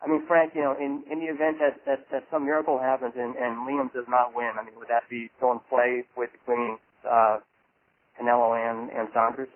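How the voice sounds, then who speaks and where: A man asking questions as a reporter on a press conference call, halting and repeating his words. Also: mid-range voice, monotone. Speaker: boxing reporter. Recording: thin phone line.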